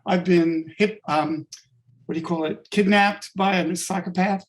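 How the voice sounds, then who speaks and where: A man from Canada who speaks with a South African accent, leading a workshop and telling a personal story haltingly, with many fillers and restarts. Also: high voice, fairly even intonation. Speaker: workshop leader. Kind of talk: personal account in group discussion.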